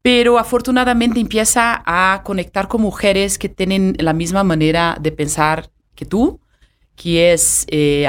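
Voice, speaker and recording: A woman in her forties from Brazil, speaking Spanish with a Brazilian Portuguese accent as a podcast guest, talking in a halting way with many fillers and restarts. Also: lowish voice. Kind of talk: conversational podcast interview.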